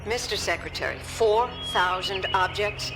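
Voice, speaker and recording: Woman as a level-headed, dry scientist, mid-pitched. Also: a little slow, lightly acted. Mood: measured. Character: level-headed, dry-witted scientist doctor advising government. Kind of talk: radio drama acting. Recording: music behind the voice.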